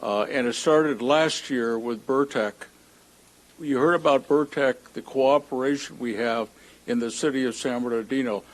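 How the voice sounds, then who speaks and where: A man's voice: medium pitch, moderate pace. A man speaking during public comment at a city council meeting, hesitant with frequent fillers.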